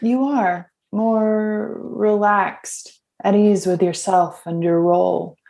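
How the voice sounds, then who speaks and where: A woman's voice: mid-range voice, fairly even intonation, takes it slowly, gravelly, mellow. A woman playing a self-assured, blunt and teasing old friend in an audio drama, with a wry, direct delivery. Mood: teasing, fond.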